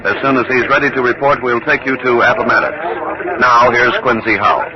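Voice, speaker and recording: An actor playing a brisk, formal radio news anchor in 1940s announcing style. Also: male voice, medium pitch, level tone, close to an everyday voice. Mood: businesslike. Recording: muffled old radio, crowd behind.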